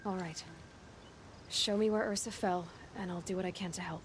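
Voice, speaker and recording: An actress playing a level-headed, determined young huntress in a video game, speaking in a direct, probing, dry manner. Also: female voice, on the low side, fairly even intonation, normal speed, slight background noise, close to an everyday voice. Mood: focused, determined. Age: young adult. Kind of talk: video game dialogue acting.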